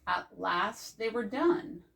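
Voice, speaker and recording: Slow-reading older woman, mic at a distance. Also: medium pitch, expressive, gravelly, fairly bright tone, a little hiss or hum. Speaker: librarian reading aloud. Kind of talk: children's picture book read-aloud. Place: indoors before a green backdrop. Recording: distant microphone.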